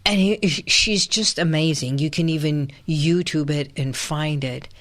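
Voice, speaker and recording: A middle-aged woman from Iran hosting a radio show, talking in a soft, meditative way with sighs and breathy pauses. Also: low voice. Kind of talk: reflective solo radio talk.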